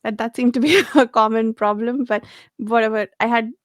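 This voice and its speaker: Higher-voiced woman chatting about books, dry sound. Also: fairly even intonation, moderate pace, no room sound. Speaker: book discussion panelist. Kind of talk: casual book discussion.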